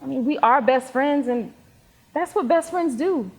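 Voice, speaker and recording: Woman playing high-voiced troubled teenage girl. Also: unhurried. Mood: guarded, surprised. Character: troubled, sincere teenage girl, guarded and weary. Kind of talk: stage play acting. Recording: echoey hall stage recording.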